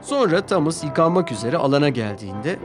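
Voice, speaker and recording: A man's voice: a little high, fairly quick. Guy telling a kids cartoon story, lots of up and down in his voice, music under it. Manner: clear, expressive storytelling. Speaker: narrator. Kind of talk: storybook narration for a children's cartoon dub. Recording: music playing behind.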